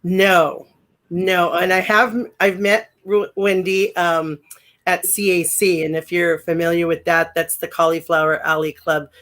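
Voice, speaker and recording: A woman in her sixties with a deep voice, chatting as a guest in an interview, rambling with many fillers and restarts. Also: little pitch movement, a little slow, fairly bright tone. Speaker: guest, former pro wrestler. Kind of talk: casual interview chat.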